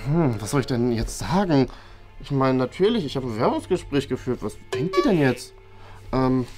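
A young man acts out an eager, easily flustered father running a small café in a family comedy sketch. His pitch jumps around in an animated way as he hesitates and stumbles over words. Music plays clearly behind him. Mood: pleased, musing. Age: young adult. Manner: hesitant, stammering, excitable. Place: small indoor office room.

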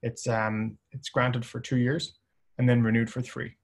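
A middle-aged man speaks over a video call in a flat, even tone, giving a slide presentation. He talks in measured phrases, often pausing on fillers and restarting a sentence. His voice sits at an ordinary pitch for a man. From Ireland. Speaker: company chief presenting at a webinar. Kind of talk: webinar presentation.

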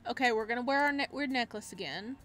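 A woman with a fairly high voice plays through a game on stream. She comments casually and reads on-screen text aloud in an excitable, reactive way. There is faint noise behind her. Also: quick pace. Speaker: gaming streamer.

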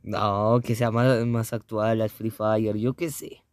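A man's voice: on the low side, level tone, slow, a little hiss or hum. A man casually commenting as a reaction video host, laid-back in manner.

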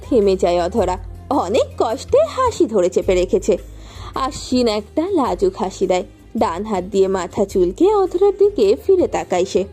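A woman's voice: mid-range voice, sing-song, moderate pace, warm tone, breathy edge, soft background music. A woman reading a scripted romantic story as its narrator.

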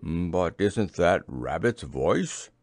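A man's voice: low-pitched, sing-song, very slow, a little mellow, no room sound, pitched below the performer's own voice, full character voice. A man voicing a simple, friendly toy bear in a storybook, ponderous and earnest. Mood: puzzled, earnest.

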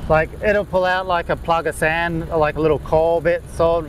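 A man, explaining golf green work in a relaxed way with many fillers, recorded outdoors on a course. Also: a little high, moderate pace, a little hiss or hum. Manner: laid-back, lots of "like" fillers. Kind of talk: casual explanatory interview.

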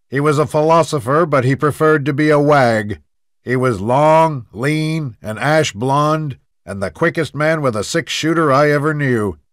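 Picture a frontier lawman of the Old West, acted out by a man, telling his memories in a dramatic reading. He is plainspoken and deliberate, with a literary turn of phrase. The voice sits at a medium pitch in a very dry recording. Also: fairly bright tone, no room sound, close to an everyday voice. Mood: fond, reflective. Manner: measured, deliberate, literary storytelling. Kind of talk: dramatic reading of printed quotes.

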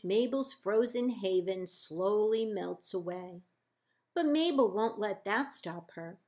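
A woman librarian reading a children's picture book aloud in measured, deliberate phrases with pauses. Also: fairly high pitch, darkish tone. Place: indoors, book held up to camera.

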